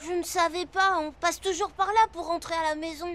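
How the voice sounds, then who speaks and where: A woman voicing an eager, impatient little boy in a cartoon, with high, breathless chatter. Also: fairly even intonation, brisk. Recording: cartoon soundtrack with loud music.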